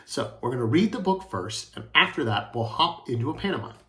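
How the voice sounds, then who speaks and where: An encouraging, expressive man teaching a children's drama lesson and narrating a storybook. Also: mid-range voice, brisk.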